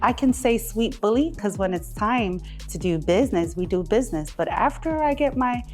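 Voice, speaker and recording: A woman's voice: medium pitch, expressive, normal speed. Conversational woman over music. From Jamaica. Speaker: music artist being interviewed. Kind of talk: casual interview answers. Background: background music.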